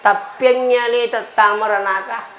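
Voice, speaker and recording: Male preacher, forties, Bugis accent, high-pitched voice, bantering folksy sermon, dull echoey sound. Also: steady tone, unhurried, pushed and bright. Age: 40s. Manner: folksy, bantering, repeats phrases to listeners. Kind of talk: humorous sermon mixing two languages. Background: crowd murmur and light noise.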